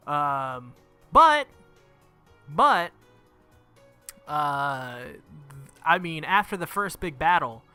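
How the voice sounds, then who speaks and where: A man's voice: fairly high pitch, sing-song, extremely slow, long pauses, pushed and bright, smooth. A man who makes gaming videos, reading visual novel text aloud and commenting casually on the game. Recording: music behind the voice. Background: game music.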